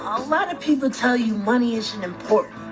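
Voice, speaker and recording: A man's voice: extremely high pitch, animated, fairly quick, over music. A young man with an American accent playing an earnest, rule-following, easily exasperated teenage brother in a sitcom, speaking emphatically to camera. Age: teenage to young adult. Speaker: sitcom lead. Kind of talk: scripted sitcom monologue to camera.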